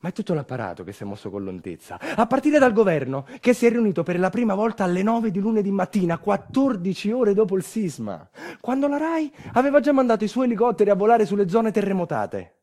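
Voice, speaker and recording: A man's voice: sits high, very animated, fast talker, mellow. A man performing a theatrical narrative monologue in a wry, rhetorical manner, with colloquial asides and refrains.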